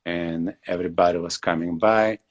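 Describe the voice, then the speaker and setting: Man, forties, from Bulgaria, English, deep voice, halting with lots of fillers, video call. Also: steady tone. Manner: hesitant, many fillers and pauses. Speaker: guest leatherworker and shoemaker. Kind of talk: casual interview over video call.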